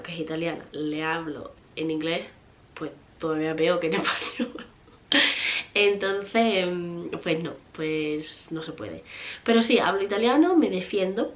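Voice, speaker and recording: Chatty Spanish woman, low-pitched. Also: moderate pace. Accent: Spain Spanish. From Spain. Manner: chatty, rambling, many fillers and restarts, laughs often. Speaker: vlogger, mother. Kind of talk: casual chat answering viewer questions.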